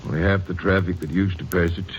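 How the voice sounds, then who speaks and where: Man playing homespun local businessman in 1950s radio drama, low voice, muffled old recording. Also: little pitch movement, fast talker, a little hiss or hum, lightly acted. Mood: folksy, obliging. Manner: folksy, colloquial. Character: folksy small-town married businessman, uneasy motel owner.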